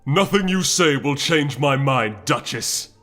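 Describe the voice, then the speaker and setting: A man's voice: medium pitch, animated, unhurried, fairly bright tone, long reverb tail, soft background music, voice pushed up. A man voicing a stubborn, prideful male pony lord in a storybook reading, brash and haughty. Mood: scowling. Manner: haughty, scowling.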